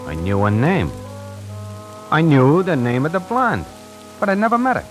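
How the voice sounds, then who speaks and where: A man's voice: on the high side, wildly swooping pitch, moderate pace. An actor playing a wisecracking necktie salesman who narrates his own story in a 1940s radio drama, hardboiled and slangy. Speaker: narrator and lead character. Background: music.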